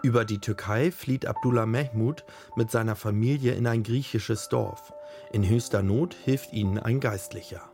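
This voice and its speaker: A man reading a scripted documentary voice-over in an even, measured way. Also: mid-range voice. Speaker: documentary narrator. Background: faint music.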